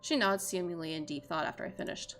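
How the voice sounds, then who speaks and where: A woman's voice: on the low side, fast, fairly bright tone, slight background noise. A woman streamer reading visual novel narration aloud and adding chatty, self-deprecating reactions, with game music behind her.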